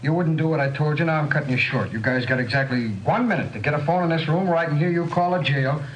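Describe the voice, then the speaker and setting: An actor playing a desperate, bullying American criminal in 1950s radio crime drama, barking orders in rough slang. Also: male voice, on the high side, fast talker, lightly acted. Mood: impatient, threatening. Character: desperate, bullying criminal with a bomb, devoted to his brother. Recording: noisy, clicking old radio recording.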